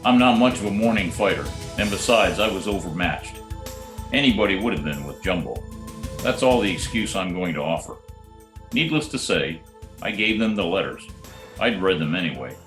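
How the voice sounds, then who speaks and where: A man's voice: sits low, normal speed. An actor playing a wry, hard-boiled private detective who narrates his own case in an old-time radio drama. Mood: deadpan. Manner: wry, deadpan wisecracking. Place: at home, recorded remotely. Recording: roomy remote home recording, music behind.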